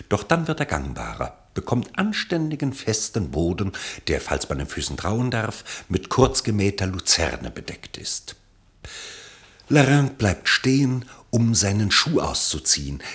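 An actor playing a wry storyteller, delivering scripted literary narration in a radio play. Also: male voice, deep voice, a bit bright, full character voice.

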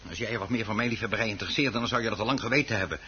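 An actor playing a stubborn, obsessive hobby gardener and exasperated husband in a radio play, with a defensive, insistent way of talking. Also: male voice, lowish voice, racing, sharp tone, breathy edge, exaggerated voice. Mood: indignant, insistent. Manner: defensive, insistent, muttering to himself when alone. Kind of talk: Dutch radio play acting. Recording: dull, noisy old radio recording.

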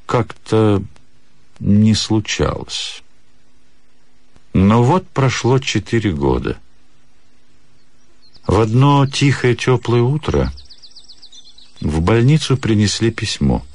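A man reads a literary story as a radio narrator, in a measured, dramatic way with deliberate pauses. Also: on the low side, sing-song, darkish tone. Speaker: actor reading a short story.

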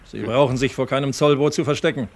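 An actor playing a reserved, secretive Dutch passenger in a radio crime drama, polite and terse. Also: male voice, medium pitch, quick pace, a little mellow, close to an everyday voice. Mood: guarded, terse. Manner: terse, guarded. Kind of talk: 1970s German radio crime drama dialogue. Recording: radio play with clear boat ambience noise. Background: boat and water sounds.

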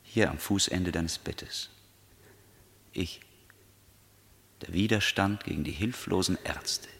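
A haunting voice of resistance in a radio play. It is low and gravelly, with a sharp, forceful edge. Every phrase is declaimed solemnly, intimate and menacing at once. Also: male voice, exaggerated voice. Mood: hushed, ominous. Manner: solemn, deliberate, poetic declamation. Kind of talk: intense poetic radio drama acting.

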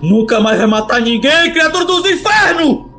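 A man's voice: extremely high pitch, lively intonation, moderate pace, pushed and bright, faint music behind, full character voice. Man acting a vengeful, cursing backlands bandit. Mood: vengeful fury. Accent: Brazilian. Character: vengeful male cangaço bandit, furious and cursing. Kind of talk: audio drama acting.

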